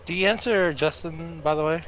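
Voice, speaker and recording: A man's voice: fairly high pitch, normal speed, fairly bright tone. A man bantering casually as a gaming friend, with a wry, teasing way of talking. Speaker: streamer's friend playing along. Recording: dull voice-chat sound, faint noise.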